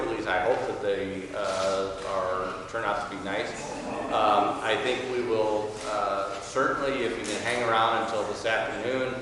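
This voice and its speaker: Man, 60s, magistrate making introductions. Lowish voice, halting delivery, heard from a distance in a big reverberant room. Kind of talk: informal welcome speech. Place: wood-paneled courtroom. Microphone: podium mic, standing back from it. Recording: very echoey hall, distant mic, faint noise.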